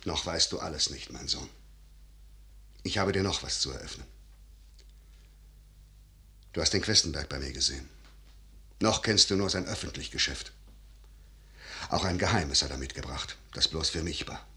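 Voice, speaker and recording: Male role: grave older general and father, low, forceful voice, declamatory classical radio-play delivery, calculating and solemn. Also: moderate pace, vocal fry, long pauses, full character voice. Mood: solemn, earnest. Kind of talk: 1950s German radio play, classical verse acting.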